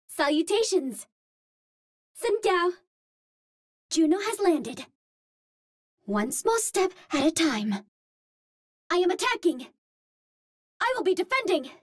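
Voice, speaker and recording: An actress playing a cute, earnest young Martian heroine in a video game, speaking politely in precise, formal phrases. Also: female voice, very high voice, very animated, slow pace, long pauses, heavily acted. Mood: polite, eager. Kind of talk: video game character voice lines.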